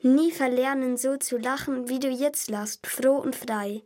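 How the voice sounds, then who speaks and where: A young co-presenter speaks in a casual, conversational way. The voice is fairly high in pitch. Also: fairly even intonation, measured pace, darkish tone.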